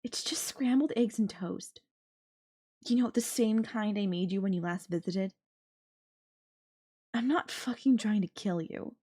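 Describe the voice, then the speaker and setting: A woman's voice: medium pitch, dark tone, breathy edge. A woman voicing a possessive yandere girlfriend in an audio roleplay, coaxing and teasing her captive with veiled threat. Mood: exasperated. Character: possessive yandere girlfriend, sweetly menacing captor. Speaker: voice actor.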